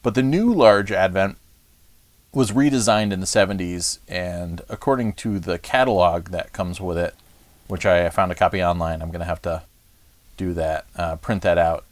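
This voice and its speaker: A man's voice: low voice, no room sound. A man hosting a casual, unscripted home video about his gear in a chatty, rambling, enthusiast's way. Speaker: vlog host. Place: furnished music room at home, indoors.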